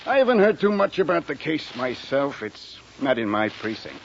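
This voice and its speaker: An actor playing a laconic, gruff-mannered police lieutenant in a 1940s radio drama, offhand and matter-of-fact. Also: male voice, mid-range voice, big pitch swings, normal speed. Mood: casual. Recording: dull, hissy 1940s radio recording.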